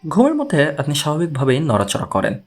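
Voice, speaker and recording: A man acts out a patient investigator from an audiobook story. He reasons step by step and puts careful questions. The voice is slightly high and husky, with very lively intonation. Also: full character voice. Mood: calm, analytical. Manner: measured, explanatory, questioning. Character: analytical, patient male investigator explaining his theory.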